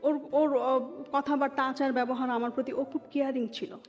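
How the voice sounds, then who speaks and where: A woman's voice: high-pitched, flat delivery, moderate pace. A woman with a Bangladeshi accent telling her own story conversationally as an interview guest, slipping English words into her speech. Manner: mixes English words into Bengali. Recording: dull sound, long reverb, background music.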